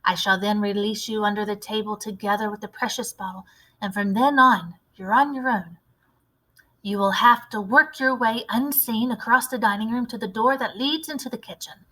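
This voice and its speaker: A woman voicing a loving, shrewd old grandmother in a storybook, measured, fond and admonishing. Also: medium pitch, bright, forceful tone, rough recording. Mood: urging.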